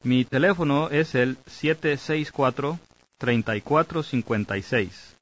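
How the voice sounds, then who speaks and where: A man's voice: medium pitch, a little slow. A man announcing activities and reading lines for a language course. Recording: dull lo-fi tape audio.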